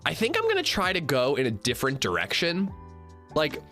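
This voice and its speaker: A man livestreaming and chatting casually with viewers, a bit congested, swinging between upbeat shout-outs and low mumbles. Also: huge pitch swings, a little fast, faint music behind.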